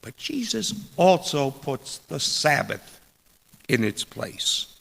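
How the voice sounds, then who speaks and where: A man delivering a scripted church sermon with deliberate, emphatic phrasing and dramatic rhetorical pauses. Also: mid-range voice, big pitch swings, vocal fry, fairly bright tone. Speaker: preacher reading a sermon. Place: large indoor church space. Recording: echoey hall with long reverb.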